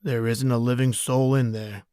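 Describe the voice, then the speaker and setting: Radio thriller stranger, played by a man: folksy, casual, insistent, quietly menacing, medium-pitched male. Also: little pitch movement, normal speed, clear, resonant tone, natural voice. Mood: casual, pushy. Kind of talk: old-time radio horror drama acting.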